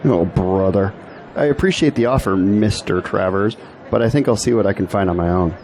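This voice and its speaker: A man's voice: fairly low pitch, moderate pace, darkish tone, reverberant, big-room sound, a little hiss or hum, natural voice, poor audio. A man playing an earnest young college graduate in an audio drama. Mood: casual, wry. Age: young adult. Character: earnest young male college graduate, later a weary diarist.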